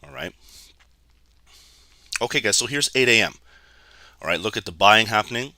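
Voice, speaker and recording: A man from Canada with a raspy, hoarse voice, giving casual live commentary on a trading stream and talking straight to his viewers. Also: lowish voice, animated, moderate pace, bright, forceful tone, dry recording. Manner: chatty, keeps addressing viewers as guys.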